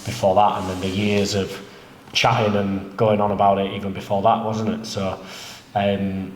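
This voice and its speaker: A lower-voiced man with a stuffy, nasal cold, talking in a loose, rambling way with plenty of fillers. He sits inside an echoey gym, and a faint hiss runs under his voice. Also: normal speed. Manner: relaxed, rambling, many fillers. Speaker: jiu-jitsu coach and academy co-owner. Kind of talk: casual chat. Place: inside a martial arts gym. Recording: echoey room with faint noise.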